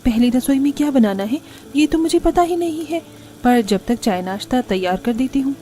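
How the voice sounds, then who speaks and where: A woman's voice: high voice, animated, normal speed, warm tone. A woman voicing a sweet, obedient young newlywed daughter-in-law in a Hindi family cartoon, speaking meekly and politely. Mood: polite, eager. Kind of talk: Hindi moral-story cartoon dubbing.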